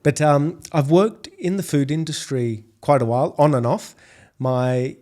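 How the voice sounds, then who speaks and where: Australian man, middle-aged, warm mellow tone, measured business interview answers. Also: a little high. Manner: measured, repeats words when restarting. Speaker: podcast guest, food delivery executive.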